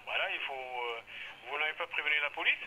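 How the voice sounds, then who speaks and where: A man working as a customer service agent on a phone call, halting and repetitive as he explains procedure. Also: fairly high pitch, crowd noise. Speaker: parcel company phone agent. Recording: slightly noisy telephone line.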